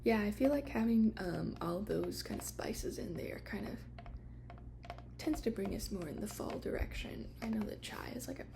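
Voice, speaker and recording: A woman doing a soft-spoken ASMR shop roleplay, explaining products in a casual, hesitant, unscripted way. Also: medium pitch, lively intonation, moderate pace, gravelly, background noise. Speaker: ASMR creator playing a tea shop attendant.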